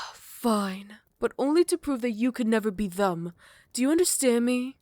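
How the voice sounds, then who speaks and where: A woman voicing a proud, centuries-old female vampire queen in an audio roleplay. She shifts between close, hushed whispered storytelling and sharp, scornful retorts, recorded on a close microphone. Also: on the high side, expressive, a little slow, no room sound. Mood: defensive. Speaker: voice actor. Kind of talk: ASMR roleplay.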